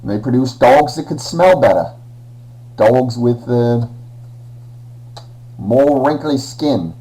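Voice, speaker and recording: Guy doing a slangy aussie accent for a science lesson, slow and mellow. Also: medium pitch, lively intonation, poor audio. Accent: Australian (put on). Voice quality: slangy Aussie put-on, drawling. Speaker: educational presenter.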